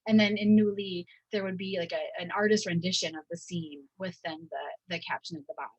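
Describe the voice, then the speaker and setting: A woman giving an informal academic lecture, speaking haltingly and often checking in with "right?". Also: fairly low pitch, steady tone, no echo, lo-fi sound. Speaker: university professor giving a lecture.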